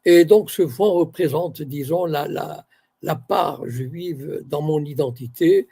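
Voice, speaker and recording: Gravelly, husky older man, measured. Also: on the high side, dark tone. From Morocco. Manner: measured, searching for words, self-correcting. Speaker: author and university academic. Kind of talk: interview guest, reflective talk.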